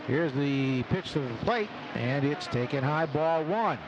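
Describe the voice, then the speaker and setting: Guy doing baseball radio play by play, voice a bit high, clipped phrases, noisy ballpark behind him. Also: animated, unhurried, lo-fi sound. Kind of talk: live sports commentary. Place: ballpark broadcast booth. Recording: live radio, loud stadium background noise. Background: clear ballpark ambience noise.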